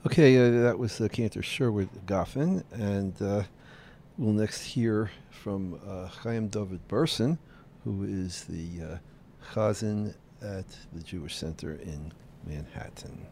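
A man's voice: lowish voice, takes it slowly, warm tone, slight background noise. A man hosting a radio show, interviewing guests in a hesitant way with many fillers.

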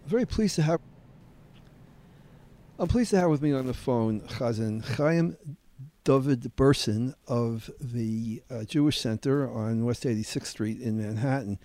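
A man's voice: mid-range voice, measured pace, dark tone. A man hosting a radio show, interviewing guests in a hesitant way with many fillers.

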